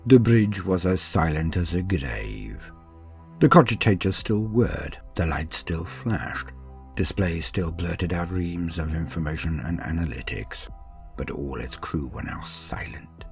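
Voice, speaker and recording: Really deep voiced guy narrating a grim scary story, suspenseful and deliberate, bit of music behind. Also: expressive, creaky. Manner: grave, suspenseful, deliberate phrasing. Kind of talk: dramatic scripted horror fiction narration.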